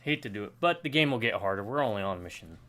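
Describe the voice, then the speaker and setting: A man hosting a live gameplay stream, chatty and opinionated, with quick agreeing interjections. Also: on the low side, animated, a bit bright. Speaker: gaming channel host. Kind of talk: casual gameplay chat.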